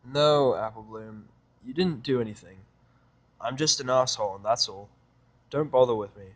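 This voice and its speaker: Mid-pitched man, gruff, sarcastic, defensive, exasperated, fan audio drama acting. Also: moderate pace, lightly acted. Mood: deadpan. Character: grumpy, defensive, sarcastic man with a hidden conscience.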